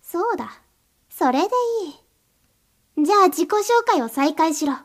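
A woman playing a very high-voiced, cold, domineering mistress. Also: expressive, a little slow. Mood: commanding. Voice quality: commanding, contemptuous, curt orders. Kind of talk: erotic second-person audio drama.

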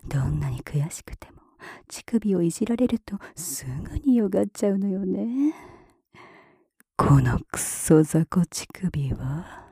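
A cool, mocking mistress, played by a woman, whispers very close to the mic in a deep, mellow, very breathy voice. She draws her words out slowly and teases throughout. The sound is dry and intimate, with no room around it. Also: animated, dry recording, full character voice. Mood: teasing, mocking. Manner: soft close whisper with taunting laughs. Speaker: voice actress. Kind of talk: whispered ASMR roleplay.

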